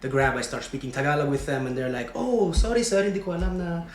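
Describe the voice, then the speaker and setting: A man's voice: a little high, lively intonation, fast talker. A young man from Sweden, a native Swedish speaker, speaking English as a podcast guest, talking casually with many fillers and restarts. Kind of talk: casual conversation. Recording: echoey room, faint noise.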